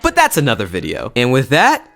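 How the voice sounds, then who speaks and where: Young guy doing a casual review vid, really animated swings in pitch, slangy and emphatic, music behind him. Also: fairly quick. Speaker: YouTube host and film reviewer. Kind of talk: casual scripted-feeling video review.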